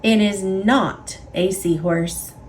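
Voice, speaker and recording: A woman reading a children's picture book aloud in an expressive, deliberate storytelling way for young listeners. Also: medium pitch, slight background noise. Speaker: storybook reader. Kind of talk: children's read-aloud narration.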